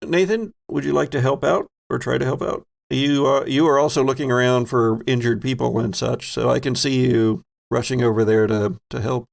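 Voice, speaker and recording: Playful man with a creaky voice. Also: medium pitch, steady tone, moderate pace, hardly any pauses. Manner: playful, conversational. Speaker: game master. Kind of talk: improvised tabletop game narration and banter.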